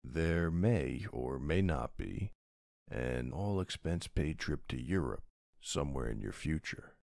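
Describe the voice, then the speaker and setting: A man playing a tough but soft-hearted mafia boss husband in an intimate ASMR roleplay, murmuring tenderly with a very deep, gravelly voice. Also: lively intonation, measured pace, dry recording, studio quality, heavily acted. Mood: teasing, affectionate.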